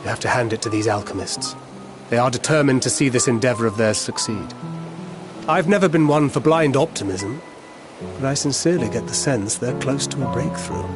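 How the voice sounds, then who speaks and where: An actor playing a worldly, philosophical man in a video game, articulate, wry and quietly resolute. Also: mid-range voice, a little fast, natural voice. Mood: wry, encouraged. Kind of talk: video game cutscene acting. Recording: music playing behind the voice.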